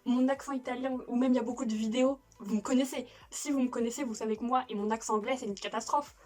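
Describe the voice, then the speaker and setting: A woman's voice: fairly high pitch, steady tone, quick pace, darkish tone. A young woman from France chatting casually as a YouTuber in her room at home, self-mocking, with frequent laughs and restarts. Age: young adult. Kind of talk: casual vlog chat. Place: bedroom at home.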